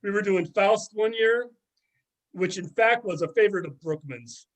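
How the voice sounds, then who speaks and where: Sardonic middle-aged ex-student, played by a man, high male voice, gossipy and mocking, amateur readers theater. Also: moderate pace, lightly acted, low-quality recording. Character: sardonic middle-aged former student dishing on an old teacher. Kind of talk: amateur readers theater, conversational script reading.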